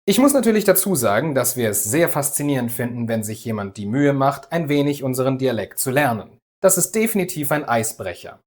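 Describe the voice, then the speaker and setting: A man from the Düsseldorf area hosts a scripted language lesson. He explains things calmly and clearly at an even, unhurried pace, and his voice sits at a middle pitch. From Düsseldorf area, Germany. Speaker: language teacher, host.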